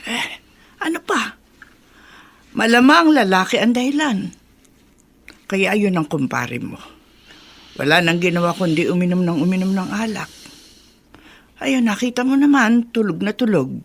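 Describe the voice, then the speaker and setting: Creaky-voiced, indignant old woman. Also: mid-range voice, animated, unhurried, long pauses. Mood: gossipy, bitter. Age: older. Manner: agitated, hesitating, gossipy. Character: indignant, gossipy old mother-in-law and grandmother. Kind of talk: Tagalog radio drama acting.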